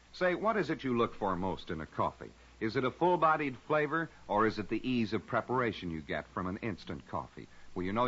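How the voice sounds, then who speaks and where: Animated, husky American radio pitchman. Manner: dramatic, folksy direct address to listeners. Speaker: radio host and sponsor announcer. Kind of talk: scripted 1950s radio narration and sponsor pitch. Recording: dull old radio recording.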